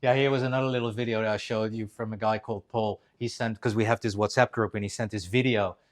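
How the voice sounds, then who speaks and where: A man's voice: fairly low pitch, steady tone, quick pace. A man of about fifty giving a loose, chatty presentation, with many restarts as he talks. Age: 50s. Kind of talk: casual live presentation. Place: clinic presentation room.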